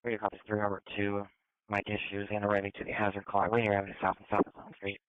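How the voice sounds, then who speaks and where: A man's voice: on the low side, completely flat delivery, fast talker, clear, resonant tone, no room sound. A man working as a police dispatcher, giving procedural messages over a two-way radio. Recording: tinny narrow police scanner radio.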